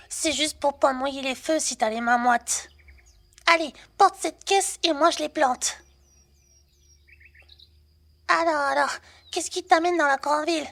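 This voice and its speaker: A woman's voice: high voice, vocal fry, a bit bright. A woman playing a brash, cheeky young working girl in a French roleplay audio drama, talking in casual slang with a teasing manner.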